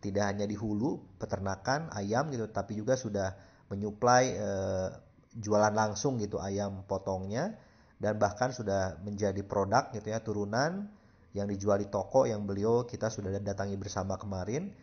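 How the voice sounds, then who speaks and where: Husky low-voiced male podcast host. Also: a little mellow, highs cut off. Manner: measured, with frequent "eh" fillers and "gitu ya" tags. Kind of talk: scripted podcast introduction.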